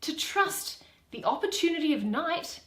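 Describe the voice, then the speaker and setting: Woman acting a scornful young man, high and swooping. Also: expressive, measured pace, roomy sound. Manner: emphatic, declamatory. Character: scornful young Athenian man, exasperated, spurning a lovesick pursuer. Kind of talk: dramatic Shakespeare reading.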